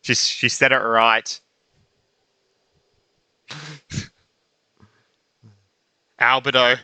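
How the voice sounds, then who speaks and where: A man's voice: medium pitch, fairly even intonation, extremely slow, sharp tone, smooth, no echo. A man giving casual gameplay commentary, informal and slangy, thinking aloud as he reacts. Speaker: gaming YouTuber.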